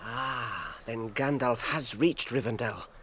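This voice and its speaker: An actor playing a wounded, weary but stubbornly loyal hobbit in a radio drama, well-spoken and earnest. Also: male voice, medium pitch, slow pace, fairly bright tone, a little hiss or hum. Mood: defiant.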